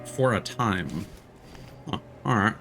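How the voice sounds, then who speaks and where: A man's voice: fairly low pitch, animated, slow pace, background noise. A man giving casual live commentary as he plays a game, muttering asides and reacting with short exclamations. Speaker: streamer. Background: game music.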